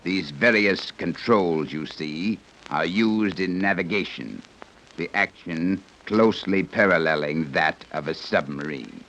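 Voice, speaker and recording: An actor playing a stern, formal guide from a hidden advanced civilization in a 1930s radio serial, explaining things precisely. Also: male voice, low voice, unhurried, a bit bright. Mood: formal, matter-of-fact. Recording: noisy old 1930s radio recording.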